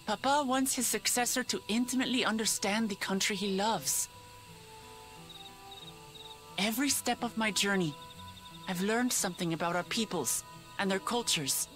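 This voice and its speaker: An actress playing an earnest, resolute young heroine in a video game, speaking with determination. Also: female voice, medium pitch, normal speed, pauses often, a bit bright, soft background music, natural voice.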